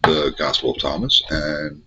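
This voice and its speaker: An older man with a head cold, hosting a Bible study and teaching in measured, incantatory short phrases. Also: very deep voice, steady tone, bright, forceful tone, husky. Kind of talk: spiritual teaching monologue. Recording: dull lo-fi recording.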